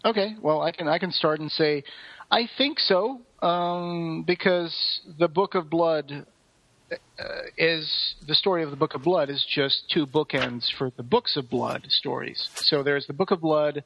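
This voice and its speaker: A man's voice: fairly high pitch, unhurried, bright, forceful tone, a little hiss or hum. A man co-hosting a podcast, explaining things in detail in a casual discussion. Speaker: podcast co-host. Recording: roomy echo, dull muffled sound.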